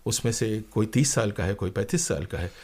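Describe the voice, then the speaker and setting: A man's voice: fairly low pitch, a little fast, darkish tone, husky. A man speaking as an interview guest, telling stories conversationally in an emphatic, dramatic way.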